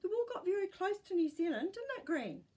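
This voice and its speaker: Woman voicing a gentle, high-pitched storybook mum. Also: sing-song, fairly quick. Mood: earnest. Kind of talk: storybook character voice.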